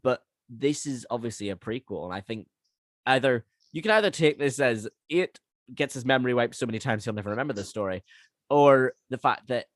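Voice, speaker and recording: A man's voice: mid-range voice, a little fast, no room sound. A man who writes and directs audio drama, chatting informally and animatedly on a podcast. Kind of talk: casual behind-the-scenes podcast chat.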